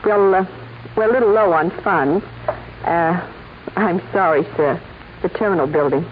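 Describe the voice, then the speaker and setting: An actress playing a coy, flirtatious check-cashing clerk with playful hesitations in a 1940s radio crime drama. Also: female voice, lowish voice, normal speed, phone-quality sound, lightly acted. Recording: thin, noisy 1940s radio recording.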